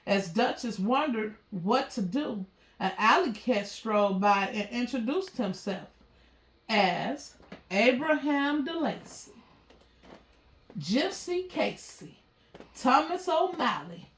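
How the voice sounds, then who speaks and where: A woman reading a children's story aloud as a narrator. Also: on the high side. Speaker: storybook reader. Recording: roomy, echoey sound.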